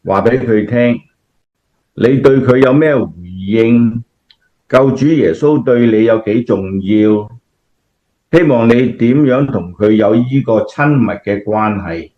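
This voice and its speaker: A man's voice: medium pitch, takes it slowly, close to an everyday voice. A man with a Cantonese accent playing a kindly, devout grandfather who explains things patiently in a scripted radio drama. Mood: earnest. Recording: dull, lo-fi audio.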